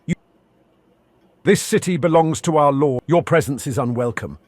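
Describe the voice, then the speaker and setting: A man's voice: on the high side, normal speed, a little mellow, no room sound, natural voice. A man voicing a hostile city guard in a fantasy video game, formal, commanding and threatening. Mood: menacing. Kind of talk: scripted game dialogue voice line.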